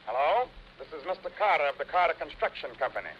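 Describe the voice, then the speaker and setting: A man's voice: fairly high pitch, big pitch swings, quick pace, lo-fi sound. A man playing an exasperated, demanding company boss in an old radio comedy, stern and formal. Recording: faintly noisy vintage radio recording.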